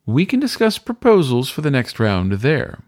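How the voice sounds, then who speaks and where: A man performs a courtly weasel host for a storybook tale. He speaks in genteel, old-fashioned phrases with a teasing, suave temper, swinging widely in pitch, recorded close and dry. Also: wildly swooping pitch, normal speed, darkish tone, dry recording. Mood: amused, inviting. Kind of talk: audiobook character voice.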